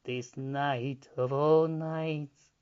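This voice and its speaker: A man's voice: a little high, steady tone, a little mellow, smooth, muffled recording. A man voicing an aged, brooding woman in a story reading, with a droning, foreboding delivery. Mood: foreboding, mournful. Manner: very slow, droning.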